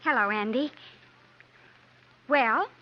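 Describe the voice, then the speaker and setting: A woman's voice: on the high side, slow. An actress playing an anxious, well-bred young lady in a 1950s radio drama, with a refined, earnest, dramatic manner. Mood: guarded, anxious. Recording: muffled vintage 1950s radio recording.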